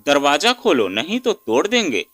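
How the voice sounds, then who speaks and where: A man's voice: a little high, wildly swooping pitch, moderate pace, a bit bright. A man voicing an outraged, protective adult brother who scolds indignantly in a story narration. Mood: furious, indignant. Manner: indignant, accusing.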